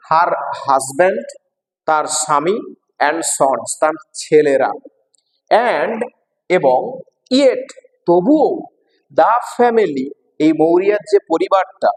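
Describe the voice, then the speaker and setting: Male teacher, West Bengal Bengali accent, higher-pitched voice, didactic explainer delivery, recorded with long echoing reverb. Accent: Indian Bengali, West Bengal. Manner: didactic, repeats each phrase and translates it. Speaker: English teacher. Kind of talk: bilingual exam lesson, explaining line by line.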